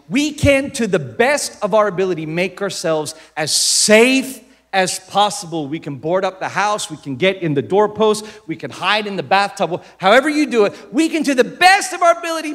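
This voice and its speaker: A middle-aged man with an American accent, raised in the Philippines, preaching a sermon with jokes and sudden loud bursts. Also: high voice. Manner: animated, jokey, bursts into shouts. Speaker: pastor. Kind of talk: sermon with comic storytelling.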